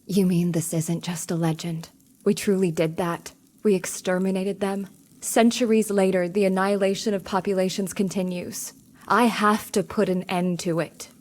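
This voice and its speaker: A woman's voice: mid-range voice, steady tone, moderate pace, darkish tone, close to an everyday voice. A woman playing a determined young rebel witch in a video game cutscene, speaking earnestly and formally.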